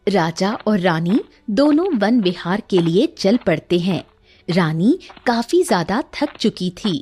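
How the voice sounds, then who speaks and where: A woman's voice: medium pitch, animated, a little slow, a little mellow, faint music behind. A woman narrating a scripted cartoon moral story as its storyteller.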